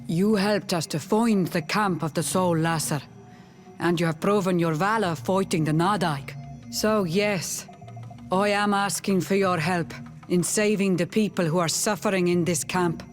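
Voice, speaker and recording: A woman playing a proud, warlike clan leader in a video game, with solemn, deliberate, formal delivery. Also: on the low side, faint music behind, lightly acted. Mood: resolute. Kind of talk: video game dialogue acting.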